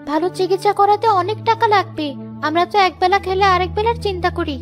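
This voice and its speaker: An anxious young village wife and mother in a cartoon tale, played by a woman. Her voice is extremely high and pleading. Music is clearly heard behind her. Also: fairly quick. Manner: fretful, pleading. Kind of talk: Bengali cartoon moral-tale acting.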